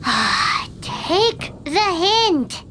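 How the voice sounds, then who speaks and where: A woman voicing a tiny childlike fairy companion in an anime video game, squeaky, perky and blunt. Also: big pitch swings, very slow, pushed and bright, a little hiss or hum, full character voice. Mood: whiny. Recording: music behind. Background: game background music.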